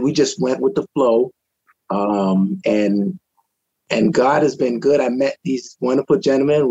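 A man from Trinidad, a guest on a talk show, relaxed in telling his own story with many fillers, speaking from his home over a video call. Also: medium pitch, creaky, breathy edge, no echo, highs cut off. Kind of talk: personal testimony in casual chat.

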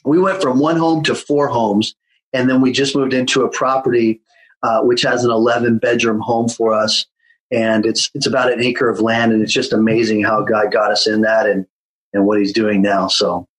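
A man with a medium-pitched voice, speaking in a relaxed conversational way. He tells his story with frequent "uh"s and restarts, as a guest in an interview. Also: moderate pace, low-quality recording. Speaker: guest, children's home founder.

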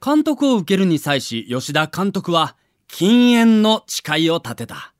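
High-pitched, very animated male narrator. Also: slow pace, no room sound, clean studio sound. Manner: theatrical storytelling delivery. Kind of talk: dramatized scripted documentary narration.

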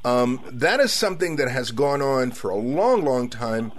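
A man from South Texas hosting a radio talk show interview, speaking slowly and hesitantly with many fillers. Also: medium pitch, very animated.

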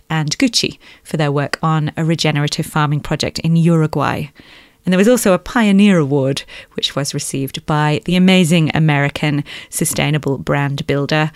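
A woman with an Australian accent hosting a podcast in a bright, chatty way. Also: deep voice, normal speed, clear, resonant tone, no room sound, clean studio sound. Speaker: podcast host.

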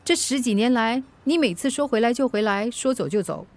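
Radio drama ex-wife played by an actress: independent middle-aged woman, higher-pitched, chiding and firm yet caring. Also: expressive, fairly quick, natural voice. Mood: resigned, firm. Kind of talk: Chinese radio drama acting.

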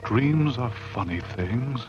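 A man's voice: medium pitch, lively intonation, slow pace. An actor in a 1940s radio horror drama playing a wary man who narrates and acts out his tale dramatically. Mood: brooding. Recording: muffled 1940s radio recording, music behind.

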